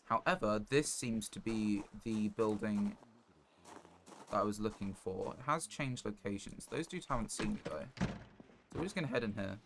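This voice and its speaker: A man's voice: fairly low pitch, moderate pace. A man doing casual live gameplay commentary, thinking aloud in short fragments as he plays a survival game. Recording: clear background noise, clicking.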